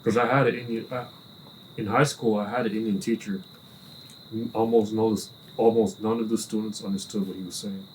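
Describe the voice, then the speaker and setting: A man's voice: lowish voice, fairly even intonation, fairly quick, a little hiss or hum, low-quality recording. A man joining a casual cigar podcast as a friend and giving his opinions in a plain, matter-of-fact way. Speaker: guest friend. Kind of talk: casual chat. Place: relaxed cigar-smoking hangout with friends.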